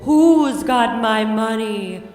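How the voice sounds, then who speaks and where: A woman voicing the blind ghost of a dead woman who wails in slow, drawn-out cries. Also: a little high, lively intonation, darkish tone, echoey room, big-room sound, pitched above the performer's own voice. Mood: wailing.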